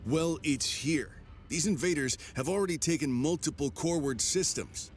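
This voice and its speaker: An actor playing a grim, battle-worn mercenary commander in a video game, speaking in terse, measured lines. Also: male voice, on the high side, natural voice. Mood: grim resolve. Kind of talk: cinematic game voice acting. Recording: music behind the voice.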